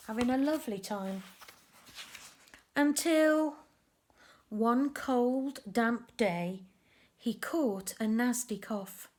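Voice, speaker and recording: A woman reading a picture book aloud to young children, with expressive, deliberate emphasis and chatty asides about the pictures. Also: fairly high pitch.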